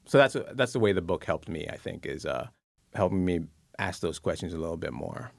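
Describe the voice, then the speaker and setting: A man, a book columnist and psychotherapist, talks through his thoughts in a reflective interview, musing with pauses and fillers. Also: deep voice, animated, creaky, dry recording, clean studio sound.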